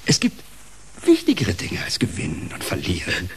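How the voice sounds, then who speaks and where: An actor playing a volatile, self-pitying uncle in a radio drama, theatrical and erratic, swinging between pleading and ranting. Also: male voice, low-pitched, wildly swooping pitch, moderate pace, a bit bright, a little hiss or hum, exaggerated voice. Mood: tender, resolute. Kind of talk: German radio drama acting.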